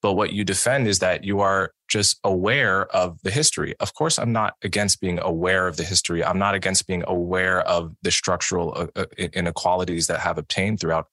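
A man with a very low, bright-edged voice argues his position in a formal debate. His delivery is pointed and argumentative, and the sound is dry and close. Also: steady tone, fairly quick, few pauses, no echo. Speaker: podcast host and debater.

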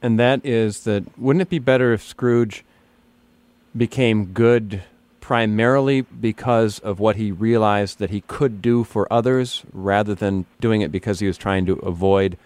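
A man interviewing on the radio in a measured way. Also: on the low side, darkish tone, smooth, no echo.

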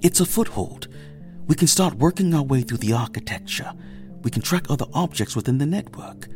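An actor in an audio drama playing a cautious, earnest male technician, measured and hesitant. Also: mid-range voice, expressive, music in the background, natural voice. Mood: cautiously hopeful.

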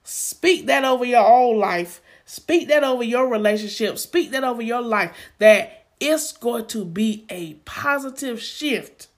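A woman's voice: on the high side, animated, unhurried, hardly any pauses. A woman co-hosting a faith podcast, exhorting listeners and repeating phrases for emphasis in a preaching style. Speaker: podcast co-host.

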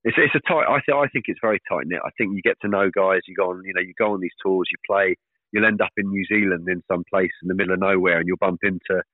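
A man in his thirties, a professional cricketer, talking casually in an interview, fairly monotone and hesitant with many fillers and restarts. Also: low voice, quick pace, no room sound. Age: 30s. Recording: tinny dry phone line.

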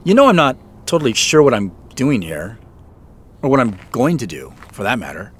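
An actor playing an uncertain, awkward man in a naturalistic audio drama, with hesitant, understated delivery and a husky voice. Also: mid-range voice, big pitch swings, moderate pace. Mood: awkward, reflective. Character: uncertain, awkward, subdued man returning to his past.

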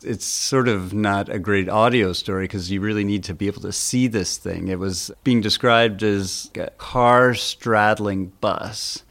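Low-voiced man explaining a story informally. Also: unhurried, hardly any pauses, fairly bright tone. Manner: informal, plain-spoken, explanatory. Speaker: senior editor, interviewed guest. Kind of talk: conversational explanation of a news story.

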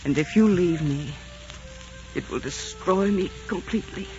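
A woman's voice: on the low side, unhurried, dark tone, faint music behind, close to an everyday voice. An actress playing a passionate, despairing queen in love, in theatrical, romantic 1940s radio drama style. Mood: yearning. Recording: muffled 1940s radio recording.

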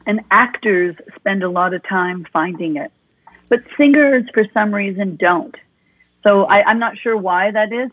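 Expressive woman vocal coach, husky voice. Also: mid-range voice, no room sound. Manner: expressive, talks with her hands. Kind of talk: animated explaining with vocal demonstrations. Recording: tinny phone-like dry sound.

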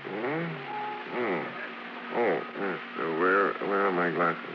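An actor playing an absent-minded, affectionate old husband with a drowsy, hesitant mumble in a 1950s radio drama. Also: male voice, low voice, takes it slowly, noisy background. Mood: drowsy, fond. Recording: muffled 1950s radio recording.

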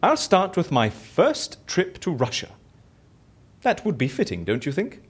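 Theatrical, restless raconteur, swooping wildly. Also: male voice, fairly high pitch, huge pitch swings, a little slow, slightly rough, exaggerated voice. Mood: eager. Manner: theatrical, chatty, self-important. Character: bored, boastful, theatrical immortal raconteur and fixer, male. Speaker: lead character in audio fiction. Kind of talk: audio drama acting.

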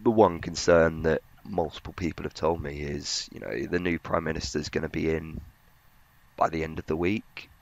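A man with a very low voice explains markets as a journalist would. His intonation stays quite flat and his phrasing is halting. The audio is slightly dull and muffled. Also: creaky. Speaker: financial journalist. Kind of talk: conversational market analysis.

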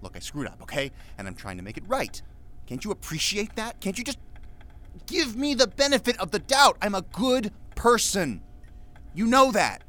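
An actor playing a lost, self-pitying grown son who pleads emotionally and haltingly in an audio drama. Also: male voice, high-pitched, big pitch swings, pushed and bright, exaggerated voice. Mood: pleading, defensive.

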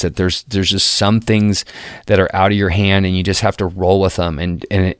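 A man's voice: deep voice, clean tone, dry recording. A middle-aged man talking casually and earnestly into a close mic, often restarting and repeating words as he shares advice. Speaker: podcast guest host.

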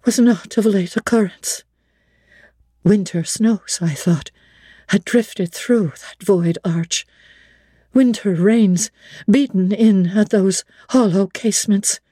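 A woman giving a measured, theatrical dramatic reading of a classic novel in a studio. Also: mid-range voice, mellow, no echo.

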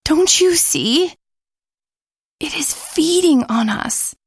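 A woman's voice: fairly high pitch, lively intonation, takes it slowly, vocal fry, a little hiss or hum, voice pushed up, full character voice. A woman voicing a terrified young woman haunted by a faceless ghost, talking in a trembling, breathless, pleading way. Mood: hysterical.

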